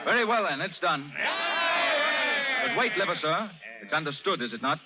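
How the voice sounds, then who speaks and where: Confident buccaneer captain, wry, commanding, lively swings of pitch, radio play acting, tinny and very noisy old recording. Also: male voice, normal speed, over a noisy crowd. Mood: decisive, commanding. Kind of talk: 1930s radio drama acting.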